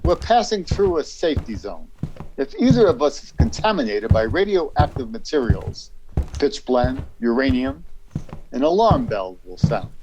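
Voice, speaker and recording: An actor playing a brisk, guarded, courteous army major in a radio drama, with a formal, clipped, official way of speaking. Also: male voice, medium pitch, very animated, noisy background, natural voice.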